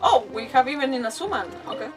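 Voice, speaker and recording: A woman with a fairly high voice chats casually through a game livestream. She rambles with animated asides. The mic sounds a little distant and game music plays clearly behind her. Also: fast talker. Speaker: game streamer. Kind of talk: casual chatty livestream commentary. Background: video game music.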